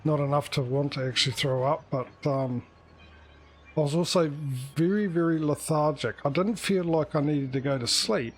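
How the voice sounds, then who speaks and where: A man's voice: a little high, normal speed. Rambling man livestreamer with faint background noise. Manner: rambling, hesitant, self-correcting. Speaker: livestream host. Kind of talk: casual livestream chat.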